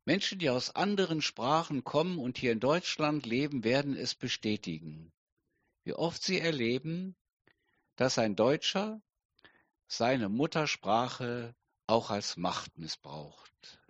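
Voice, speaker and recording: A middle-aged man preaching a sermon slowly and deliberately, with emphatic pauses. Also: mid-range voice, very animated, fairly bright tone, dry recording, muffled recording. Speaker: pastor.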